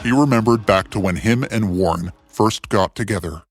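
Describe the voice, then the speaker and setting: A man's voice: lowish voice, normal speed. A man reading a scripted adventure story in a theatrical, suspenseful way, as its narrator. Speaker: storyteller, narrator of a fiction series. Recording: music bed under the voice. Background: music.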